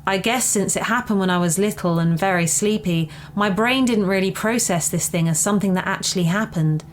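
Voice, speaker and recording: A woman with a medium-pitched voice reads a first-person account as scripted narration. She sounds conversational, and there is a faint noise in the recording. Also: brisk. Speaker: story reader.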